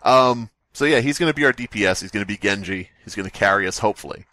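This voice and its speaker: Low-voiced man telling a casual podcast anecdote. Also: fast talker, no room sound. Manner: animated, profane, does voices in anecdotes. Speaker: podcast host. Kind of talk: casual storytelling among friends.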